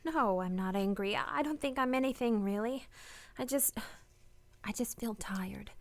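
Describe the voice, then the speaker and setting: A woman's voice: medium pitch, moderate pace, close to an everyday voice. A woman playing a weary, heartbroken married woman parting from her lover, hesitant and anguished, in a radio drama. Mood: tired, subdued. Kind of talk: radio play acting with confessional narration.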